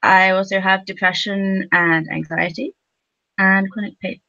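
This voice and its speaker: A woman giving a casual, halting introduction as a panelist, heard through dull lo-fi call audio. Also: medium pitch, little pitch movement, gravelly, sharp tone, dry recording. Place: remote video call.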